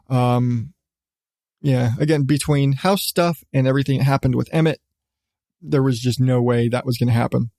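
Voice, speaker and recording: A middle-aged man hosting a casual solo podcast, talking in a rambling, halting way with repeated restarts. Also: medium pitch, creaky, a little mellow, no room sound, clean studio sound. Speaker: podcast host.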